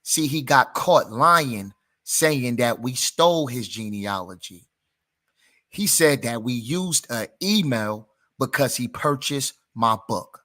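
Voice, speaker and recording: A man hosting his channel, giving commentary in a slow, emphatic, drawn-out way. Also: mid-range voice, animated, pushed and bright. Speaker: channel host.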